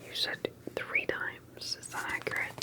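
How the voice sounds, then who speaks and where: Someone whispering softly in an ASMR roleplay as an earnest, cautious researcher interviewing with deliberate, halting questions. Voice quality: soft breathy whisper. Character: earnest, cautious alien abduction researcher and survivor. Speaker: ASMR performer playing an interviewer.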